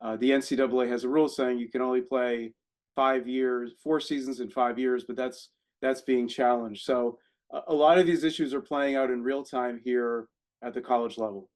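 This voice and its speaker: A man's voice: mid-range voice, fairly even intonation, normal speed, a little mellow. A man speaking on an academic panel over a video call. He explains a point to the other panelists and sometimes repeats words as he goes.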